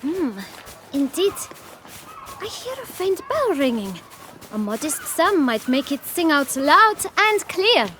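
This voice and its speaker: A woman's voice: sits high, big pitch swings, slow pace, fairly bright tone, slight background noise, full character voice. A woman voicing a glib, enterprising young street boy in a video game. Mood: eager, bargaining. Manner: glib, mock-formal salesmanship.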